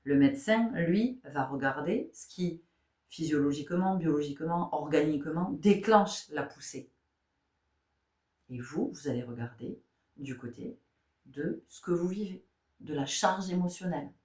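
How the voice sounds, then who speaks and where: A woman's voice: deep voice, expressive, a little slow, darkish tone, recorded from a distance. A woman giving a spiritual tarot guidance talk, asking rhetorical questions, repeating phrases and often checking in with her listeners. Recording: echoey room.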